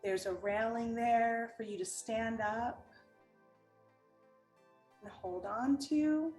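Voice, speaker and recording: Slow-paced woman guiding a meditation. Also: fairly high pitch. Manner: calm, gentle, unhurried instruction with long pauses. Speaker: meditation guide and art teacher. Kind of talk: guided meditation. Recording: roomy echo with music underneath.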